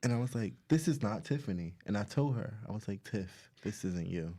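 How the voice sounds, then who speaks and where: A man's voice: lowish voice, animated, a little fast, dark tone. A man, a guest entrepreneur, telling a story in a frank, teasing way. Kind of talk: casual chat over cocktails.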